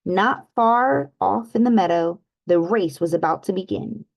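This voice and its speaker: A woman reading a picture book aloud for children, pausing to ask her young listeners questions. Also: mid-range voice, creaky. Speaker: children's read-aloud host. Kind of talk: storybook read-aloud.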